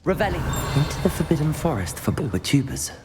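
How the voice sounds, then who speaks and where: An earnest young wizard. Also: male voice, a little high, big pitch swings, quick pace, over music. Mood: resolute. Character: young student wizard hero, earnest and composed. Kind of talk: video game character dialogue.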